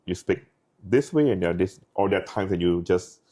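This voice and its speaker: A man interviews his guest in a deep, mellow voice. He asks his questions hesitantly, pausing and restarting between words. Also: expressive. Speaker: interview host. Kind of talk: casual interview chat.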